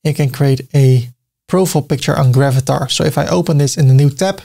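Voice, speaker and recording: A middle-aged man with a Dutch accent talks through his screen step by step, the way a tutorial host does. His pitch sits at an ordinary middle height, and he keeps it friendly and chatty, slipping in small jokes at his own expense. He sounds close to a desk microphone. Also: brisk, vocal fry, mellow. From Netherlands. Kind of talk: screen-recorded software tutorial.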